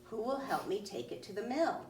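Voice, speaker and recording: A woman's voice: medium pitch, expressive, moderate pace, a little mellow, pitched above the performer's own voice, lightly acted. A woman voicing an industrious, self-reliant little red hen from a children's storybook, with emphatic questions and firm declarations. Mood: hopeful, determined. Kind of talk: children's storybook read-aloud. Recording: roomy, distant mic, faint noise.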